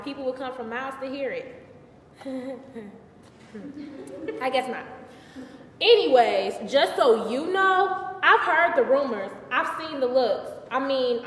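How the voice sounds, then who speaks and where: A woman's voice: high-pitched, expressive, measured pace. A young woman performing a live theatrical monologue as an indignant, wry biblical queen, conversational and emphatic. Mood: wry, defiant. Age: early 20s. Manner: conversational, sassy, emphatic, exasperated. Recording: distant mic, echoey hall, faint noise.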